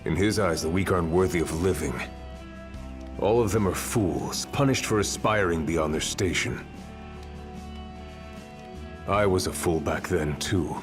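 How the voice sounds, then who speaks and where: An actor playing a hardened veteran warrior mentor in a video game, grave and wry, speaking tersely. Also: male voice, low voice, unhurried, pauses often. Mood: grave warning. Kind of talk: video game cutscene acting. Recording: dramatic game music behind.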